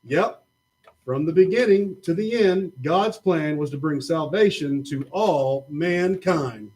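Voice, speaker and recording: Man, high voice, patient children's Bible storyteller opposite a puppet. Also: slow pace. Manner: patient, gently explaining. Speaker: puppet show presenter. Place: church.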